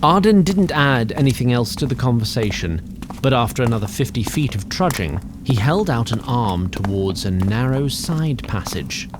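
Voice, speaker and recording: A man's voice: medium pitch, big pitch swings, normal speed, background noise. A man narrating a scripted fantasy audio drama in a formal, literary, wry manner.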